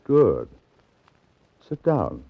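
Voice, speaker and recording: Suave 1940s radio villain. Very low, rough voice, animated pitch, unhurried polite threats, dull vintage sound. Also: male voice, exaggerated voice. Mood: smooth menace. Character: suave, menacing crook with a hired thug. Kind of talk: 1940s radio drama acting. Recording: dull, band-limited 1940s radio recording.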